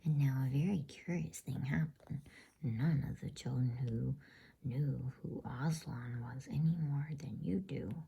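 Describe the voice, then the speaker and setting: Woman whispering a slow, breathy bedtime story. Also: a bass voice, highs cut off. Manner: hushed, unhurried, soothing. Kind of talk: ASMR storybook narration.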